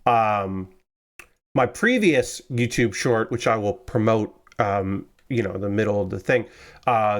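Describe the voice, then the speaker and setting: A man's voice: medium pitch, a bit bright. A young man casually chatting with his stream viewers while building model kits, rambling and correcting himself, a little congested after a cold. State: slightly congested, recovering from a cold. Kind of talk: casual livestream chat. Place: his room with window open.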